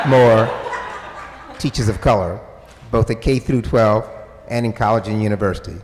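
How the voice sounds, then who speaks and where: Male panelist, formal and deliberate, long hall reverb. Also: medium pitch, darkish tone. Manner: measured, deliberate, formal phrasing. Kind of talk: measured panel answer.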